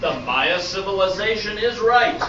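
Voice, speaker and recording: Casting: man as showy, upbeat quiz host, fairly high voice, amateur radio-play comedy; echoey room. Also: animated, normal speed. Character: upbeat, patient male game show host. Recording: roomy, distant, noisy dull stage recording.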